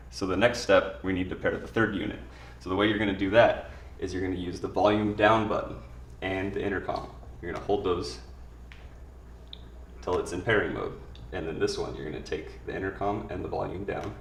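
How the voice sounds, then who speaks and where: Male tutorial presenter, low-pitched, plain informal delivery, echoey room sound with faint background noise. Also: recorded from a distance. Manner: plain, informal spoken explanation. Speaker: product demonstrator. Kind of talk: instructional product tutorial, step by step.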